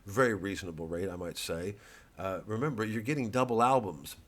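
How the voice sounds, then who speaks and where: A middle-aged man with a fairly low voice rambles through a casual monologue. He is sardonic and keeps drifting off topic, then bursts into loud, mocking asides. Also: a little fast. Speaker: comedian promoting his work.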